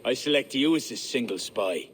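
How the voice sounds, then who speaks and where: Brief: actor as a proud medieval high king, grave and regal, steady mid voice, faint hum behind. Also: moderate pace, close to an everyday voice. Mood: commanding. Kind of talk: video game cutscene acting.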